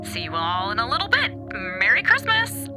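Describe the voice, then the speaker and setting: A woman playing a brisk space station commander in an audio drama, speaking. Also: medium pitch, wildly swooping pitch, music in the background. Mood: brisk, cheerful. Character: brisk female space station commander, and polite ship computer.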